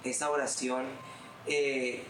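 A man's voice: mid-range voice, flat delivery, slow, a bit bright. A man in his twenties with a Colombian accent, a guest telling his faith story in an earnest, heartfelt way. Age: 20s. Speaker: guest musician. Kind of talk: testimony in an interview. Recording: roomy room with background noise.

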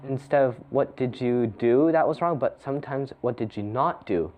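A man's voice: mid-range voice, normal speed, muffled recording. A man speaking earnestly and devoutly in a casual faith discussion. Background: guitar music.

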